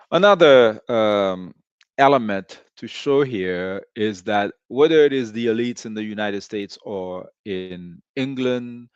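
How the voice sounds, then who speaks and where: A man from Haiti hosting a commentary monologue, speaking deliberately with frequent pauses and fillers. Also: on the low side, no echo, lo-fi sound. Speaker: host of a commentary show. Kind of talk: slow reflective commentary monologue.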